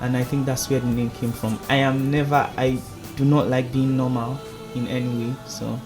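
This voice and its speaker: A man chats as a radio guest, playful and animated, laughing between stories. His voice sits mid-range for a man. Loud music plays under him throughout. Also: medium pitch, normal speed. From Nigeria. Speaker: singing contest finalist guest. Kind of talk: casual radio interview.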